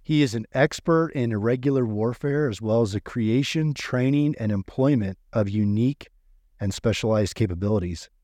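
A man's voice: mid-range voice, moderate pace, mellow, dry recording, clean studio sound. A man co-hosting an interview podcast in a casual, conversational style. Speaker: podcast co-host.

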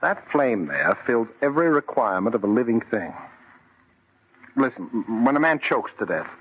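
An actor playing a dreamy, obsessive young bridegroom in an old radio horror drama, speaking with rapt, insistent intensity. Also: male voice, medium pitch, big pitch swings, normal speed, husky. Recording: dull, noisy vintage radio recording.

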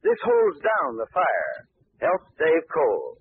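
A man's voice: a little high, wildly swooping pitch, takes it slowly, gravelly, breathy edge. A man reading a folksy, earnest sponsor message on an old radio broadcast. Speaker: sponsor spokesman. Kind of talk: scripted radio advert. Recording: tinny old radio broadcast, faint hiss.

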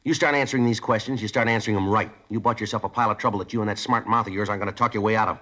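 A stern deadpan cop, very low voice, rapid clipped lines. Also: male voice, breathy edge. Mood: angry. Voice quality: clipped, flat, matter-of-fact delivery. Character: stern deadpan male police detective, narrator. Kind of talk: 1950s police drama acting.

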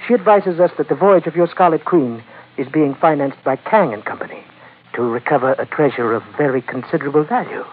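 An actor playing a sly, courteous Chinese merchant crime boss in an old-time radio drama, speaking formally with polite menace. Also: male voice, on the high side, breathy edge. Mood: smooth, businesslike. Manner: formal, elaborately polite, unhurried. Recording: noisy, tinny vintage radio recording.

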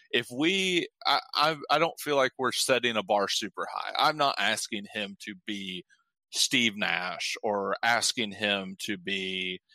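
A man's voice: pushed and bright, dry recording. A man co-hosting a casual sports podcast, talking in rambling, frustrated bursts with many restarts. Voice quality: deep voice. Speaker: podcast co-host.

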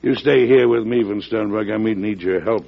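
An actor playing a hearty, shrewd middle-aged American president in an old radio drama, with a commanding, oratorical manner. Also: male voice, on the low side, moderate pace, a little hiss or hum, lightly acted. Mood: brisk. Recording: muffled vintage radio recording.

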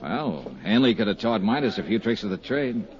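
A man's voice: lowish voice, lively intonation, moderate pace, close to an everyday voice. An actor playing a hard-boiled male insurance investigator in a 1950s radio drama, narrating in clipped, dry first person. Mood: terse. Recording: dull lo-fi old radio broadcast.

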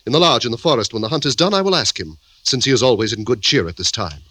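A man's voice: medium pitch, lively intonation, fast talker, sharp tone, husky, dry recording. A man playing a meek, lovelorn young Russian suitor in an old-time radio drama, earnest and plaintive with stilted formal phrasing.

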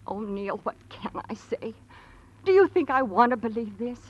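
An actress playing a troubled, gentle, doubting woman in vintage radio drama style, speaking hesitantly and earnestly. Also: fairly high pitch, expressive, moderate pace. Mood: torn, pleading. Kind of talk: 1940s radio drama acting. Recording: muffled vintage radio recording.

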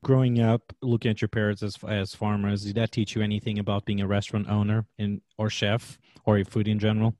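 A middle-aged man from Portugal with a Portuguese accent, hosting a food podcast with an upbeat, energetic manner. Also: fairly low pitch, brisk, few pauses, dry recording. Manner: energetic upbeat intro, quieter when asking questions. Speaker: podcast host and chef. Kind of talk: podcast interview and ad read.

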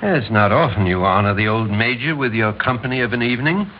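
A man's voice: on the low side, expressive, fairly quick, close to an everyday voice. An actor playing a proud, needling older Major and father-in-law with an American accent, blustery and persuasive, in old-time radio drama style. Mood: genial. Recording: dull, noisy old radio recording.

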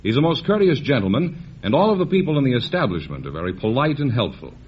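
A man's voice: mid-range voice, very animated, fast, breathy edge, highs cut off. A man working as a radio announcer, delivering polished announcements and ad copy on an old noisy recording. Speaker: radio announcer and show sidekick. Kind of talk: polished announcing and scripted ad reading.

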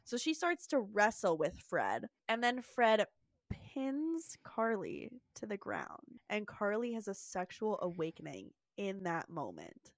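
A woman's voice: medium pitch, big pitch swings, slow, no room sound. A woman co-hosting a podcast, recapping an episode in casual, crude, giggly banter. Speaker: podcast co-host. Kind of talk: casual comedic recap chat.

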